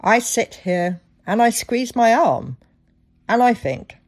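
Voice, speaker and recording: A woman hosting a solo podcast, telling things reflectively with deliberate, dramatic phrasing. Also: fairly high pitch, big pitch swings. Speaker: podcast host.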